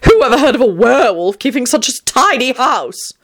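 A woman's voice: high voice, big pitch swings, moderate pace, voice pushed up. A woman voicing a haughty, cowardly, theatrical male werewolf in a story, with fussy, affected endearments and an easily offended manner. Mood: haughty, dry.

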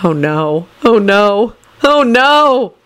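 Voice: medium pitch, moderate pace, pitched above the performer's own voice, lightly acted. A storyteller voicing an exasperated, sulky man in a melodramatic, indignant, whiny manner for an audiobook story. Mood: disgusted spluttering. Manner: whiny, dramatic, loudly indignant.

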